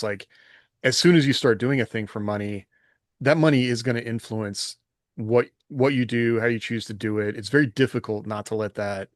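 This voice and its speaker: A man's voice: lowish voice, no echo, clean studio sound. A man co-hosting a podcast, talking casually in an earnest, conversational manner. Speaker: podcast co-host and writer. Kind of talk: casual chat.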